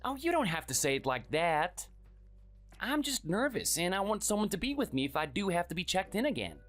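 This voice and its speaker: A performer voicing a meek, sickly man in his thirties who speaks softly and hesitantly, always apologizing. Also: high voice, sing-song, a little fast, soft background music. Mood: pleading. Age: 30s. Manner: soft, hesitant, pleading. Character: meek sickly young husband, timid and apologetic.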